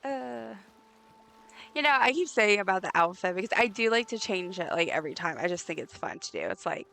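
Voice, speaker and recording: Raspy-voiced woman streamer chatting. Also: medium pitch, sing-song, a little fast. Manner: chatty, rambling with many ums and likes. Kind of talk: casual live gameplay chat. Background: soft game music.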